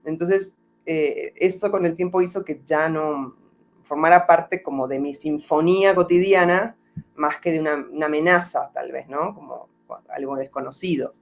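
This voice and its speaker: Woman, Argentine Spanish accent softened by Mexico, music therapist guest on a video call; thoughtful, searching for words. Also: low voice, normal speed, slight background noise. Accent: Argentine Spanish, softened toward Mexican. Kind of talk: reflective interview answers. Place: home in Mexico.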